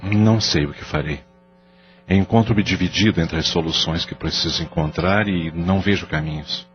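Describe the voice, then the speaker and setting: A man's voice: deep voice, normal speed, close to an everyday voice. An actor playing a remorseful, guilt-ridden husband and father who speaks in a weary, pleading way. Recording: dull lo-fi audio with faint music.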